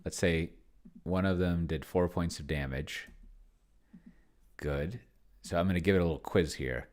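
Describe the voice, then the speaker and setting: A man's voice: low-pitched, measured pace, a little mellow. A man giving casual think-aloud commentary on a game, musing to himself and trailing off. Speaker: solo tabletop game player and demonstrator.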